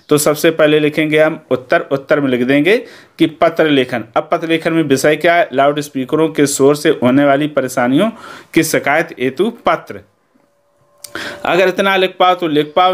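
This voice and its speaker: An Indian man speaks as a teacher in a slightly high voice. He explains things methodically, one step after another. He often pauses on a short check-in word before moving to the next point. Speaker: Hindi teacher. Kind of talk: instructional lesson, explaining step by step.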